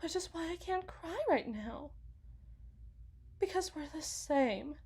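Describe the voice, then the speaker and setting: A woman playing an anguished, guilt-ridden mother who confides haltingly and dramatically in an ASMR roleplay monologue. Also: high-pitched, lively intonation, a little mellow, heavily acted. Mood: guilty, despairing.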